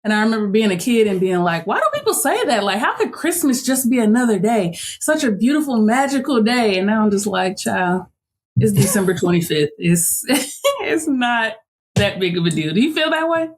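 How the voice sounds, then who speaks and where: A woman in her late thirties from Chicago, hosting a podcast in a relaxed, chatty way and laughing easily. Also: medium pitch, moderate pace. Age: 30s. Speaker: podcast host. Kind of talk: casual chat.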